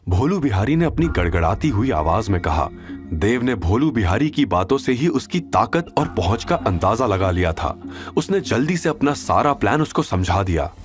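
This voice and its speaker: A male narrator reads a serialized audio drama with a very lively, rising-and-falling delivery. His pitch sits mid-range, and soft music plays faintly underneath. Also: normal speed. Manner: expressive storytelling, does all characters.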